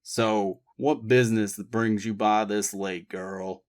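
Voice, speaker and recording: Brief: gruff older Virginia dad, deep baritone drawl, terse and grunting, acted by the narrator. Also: male voice, fairly even intonation, slow pace. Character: big gruff older Southern father, protective.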